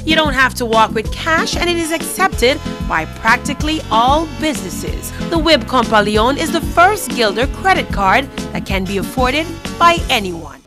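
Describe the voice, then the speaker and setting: A woman reads a scripted commercial. Her announcer voice is high and animated, with music clearly underneath. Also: moderate pace, hardly any pauses. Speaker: advert announcer. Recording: music bed under voice-over.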